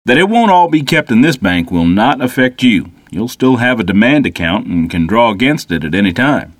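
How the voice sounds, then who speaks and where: A man voicing a middle-aged small-town bank president, explaining in a measured way. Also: medium pitch, very animated, dry recording, studio quality. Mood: businesslike.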